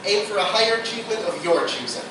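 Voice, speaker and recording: A woman's voice: mid-range voice, animated, fast talker, recorded from a distance, lightly acted. Sardonic, brainy female student played by a young woman, dry conversational radio drama, noisy reverberant live recording. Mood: lecturing. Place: live performance room, distant mic. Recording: echoey, noisy live theatre recording from afar.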